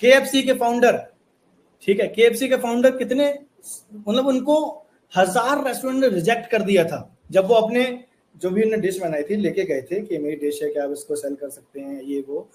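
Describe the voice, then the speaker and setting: A man's voice: sits high, moderate pace, darkish tone, a little hiss or hum, rough recording. A young man teaching a motivational course lesson, earnest and repetitive, asking his viewers rhetorical questions. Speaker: online course instructor.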